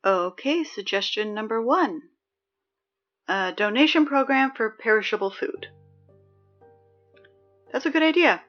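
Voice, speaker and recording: A woman's voice: on the high side, expressive, a little slow, pauses often, highs cut off, soft background music. A woman playing a dry, sarcastic teenage girl who reads the school announcements in an audio drama. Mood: chatty.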